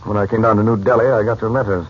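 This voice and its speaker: An actor playing a calm, authoritative uncle versed in mysticism, measured and explanatory, in 1940s radio serial style. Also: male voice, on the low side, lightly acted. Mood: thoughtful. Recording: dull old radio recording, faint noise.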